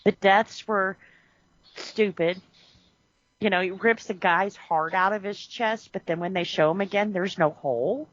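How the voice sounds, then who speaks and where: A woman co-hosting a podcast, chatting casually about a movie, animated and quick to laugh. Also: medium pitch, no echo. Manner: animated, laughs often, acts out quotes. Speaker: podcast co-host. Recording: muffled lo-fi audio.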